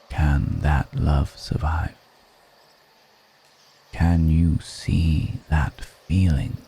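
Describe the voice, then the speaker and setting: A man playing a brooding, melancholic undead vampire in an intimate ASMR roleplay, speaking in a measured, poetic murmur with pauses between phrases. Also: slight background noise, heavily acted. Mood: wistful, musing. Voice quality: very deep, dark, creaky murmur.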